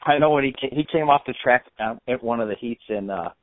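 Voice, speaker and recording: Fast-talking man hosting over a tinny phone. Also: mid-range voice, dry recording. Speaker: interview host. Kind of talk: casual, slangy chat. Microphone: telephone. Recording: tinny, band-limited phone line.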